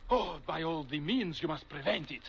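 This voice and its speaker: An excitable male consulate official from an old radio drama, with a thick put-on Eastern European accent and broken English. His voice sits high and swings wildly. The recording sounds dull and dated. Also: moderate pace, creaky. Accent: exaggerated put-on Eastern European. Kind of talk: 1940s radio acting.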